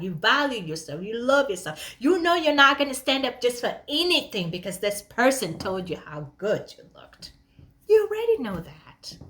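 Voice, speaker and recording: High-pitched woman motivational speaker. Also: fairly bright tone. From Kenya. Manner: emphatic and conversational, asks rhetorical questions. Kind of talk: motivational talk addressed to listeners.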